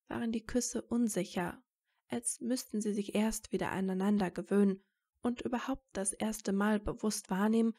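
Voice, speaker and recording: A woman narrates a story from a script. Her voice sits at an average pitch for a woman, and she serves as the narrator of an audio drama. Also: darkish tone.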